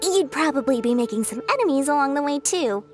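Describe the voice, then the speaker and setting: A woman's voice: sits high, lively intonation, a little fast. A woman voicing a small, shrewd, money-loving female merchant in a video game, with wheedling, theatrical sales patter. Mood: sly, persuasive. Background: music.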